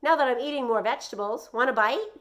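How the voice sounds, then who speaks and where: A woman reading a children's novel aloud, voicing an eager, talkative young boy who is earnest and excitable. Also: a little high, fast, voice pushed up, close to an everyday voice. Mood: cheerful.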